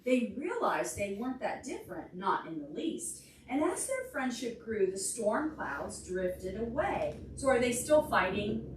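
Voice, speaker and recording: Animated, slow-paced woman reading to preschoolers. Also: fairly high pitch, distant mic, slight background noise. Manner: expressive, simple explanatory way of talking to small children. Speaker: children's librarian leading a preschool storytime. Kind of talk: reading a picture book aloud to young children, pausing to ask questions. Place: large carpeted indoor library meeting room. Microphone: distant camera microphone. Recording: roomy, picked up from across the room.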